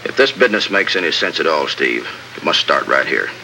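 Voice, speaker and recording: An actor playing a steady American lieutenant in a 1950s radio drama, crisp and matter-of-fact. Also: male voice, a bass voice, a little fast, noisy background, exaggerated voice. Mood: earnest, briefing. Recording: old radio recording, faint music.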